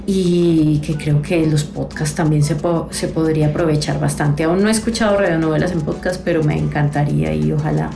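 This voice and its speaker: A woman's voice: sits low, steady tone, brisk, gravelly, few pauses. A woman giving her opinion as a viewer in a casual testimonial. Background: music.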